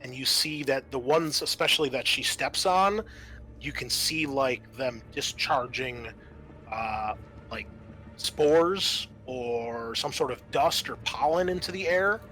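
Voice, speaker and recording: A man working as game master, improvising halting, descriptive narration for a tabletop sci-fi horror game. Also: medium pitch, sharp tone.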